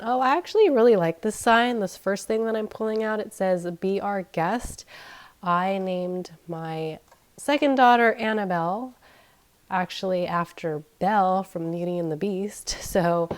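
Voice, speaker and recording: Woman, thirties, nasal crackly post-sick voice, slow unhurried chat, smooth tone, home unboxing vlog. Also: medium pitch. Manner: chatty, thinking aloud, self-deprecating. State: nasal and crackly from recovering illness. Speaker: unboxing vlogger. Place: indoors at home, carpeted floor. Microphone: camera pointed down at items, speaker off-screen.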